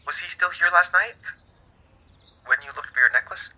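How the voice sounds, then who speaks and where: Sharp, tinny phone voice, anxious man. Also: sits high, normal speed, breathy edge, heavily acted. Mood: anxious, urgent. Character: anxious funeral home boss on the phone. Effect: telephone filter. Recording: dull, band-limited phone line.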